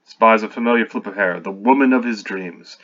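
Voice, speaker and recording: Lowish man reading his own screenplay. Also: pushed and bright, muffled recording. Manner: halting, self-correcting, wry asides. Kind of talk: reading a screenplay aloud with commentary.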